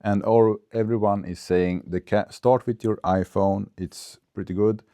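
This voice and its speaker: A low, mellow male voice with a clear Swedish accent, musing to camera in unscripted English. He is in his forties and hesitant, filling gaps with ums and restarting his sentences. The sound is close and dry, from a small clip-on mic. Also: steady tone, no echo. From Sweden. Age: 40s. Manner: thinking aloud, halting with fillers and restarts. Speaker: vlogger. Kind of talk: unscripted casual vlog. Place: recorded on a phone camera. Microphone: small clip-on wireless mic.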